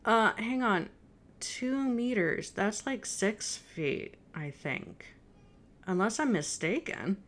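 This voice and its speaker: Woman in her 30s reacting to a video, chatting casually, normal pitch. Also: animated, slow, a bit bright. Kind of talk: casual off-the-cuff commentary on a video. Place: indoor room at home.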